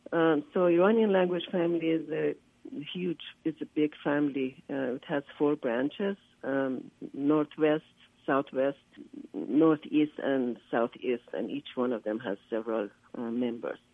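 A man whose first language is Persian, a linguist giving a radio interview by phone, often pausing to search for words. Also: fairly high pitch, fairly even intonation, creaky, no echo. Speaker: linguist and conference organizer, interview guest. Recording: tinny, dry phone line.

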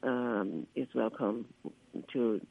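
A man whose first language is Persian, a linguist giving a radio interview by phone, often pausing to search for words. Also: mid-range voice, fairly even intonation, creaky. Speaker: linguist and conference organizer, interview guest. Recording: tinny, dry phone line.

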